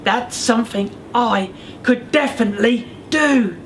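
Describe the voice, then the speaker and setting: A man's voice: extremely high pitch, measured pace, fairly bright tone, heavily acted. A man voicing a stubborn little male monster in a storybook, with emphatic, grumbling delivery. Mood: curious. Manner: roaring, grumbling, emphatic chanting. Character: small blue monster, male, stubborn and roaring. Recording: faint background noise.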